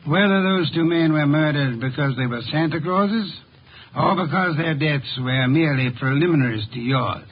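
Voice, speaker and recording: An actor playing an imperious, brilliant and eccentric detective in a 1950s radio drama, speaking in pompous, precise, formal sentences. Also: male voice, a little high, moderate pace, husky. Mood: commanding, dry. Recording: dull old radio recording.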